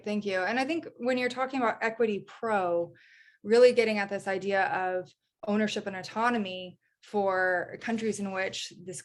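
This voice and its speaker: A woman moderating a panel, summing up points and inviting answers in an encouraging way. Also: medium pitch.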